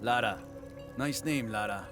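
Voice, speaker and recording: Eccentric self-declared dead outcast played by a man, medium pitch, video game drama. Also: unhurried, music in the background, close to an everyday voice. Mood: wistful, solemn.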